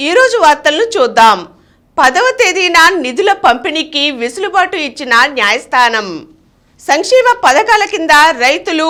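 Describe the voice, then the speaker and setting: Female news presenter, high pitch, very animated melody, reads scripted bulletins.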